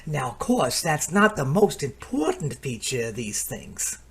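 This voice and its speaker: A man's voice: medium pitch, very animated, a little slow. An actor playing a folksy, patient gadget salesman in a radio play, chatty and colloquial, hemming and hawing. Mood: confident explaining.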